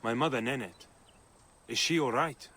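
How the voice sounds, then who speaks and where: An actor playing a defiant, earnest captive farmhand in a video game, speaking with urgency. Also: male voice, medium pitch, normal speed, bright, forceful tone, slightly rough. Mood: concerned, grateful. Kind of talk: dramatic video game acting.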